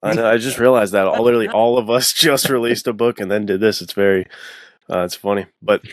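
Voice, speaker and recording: Male podcast host, low voice, close dry sound, casual and rambling. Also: steady tone, fast talker, no room sound. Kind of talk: casual conversational interviewing.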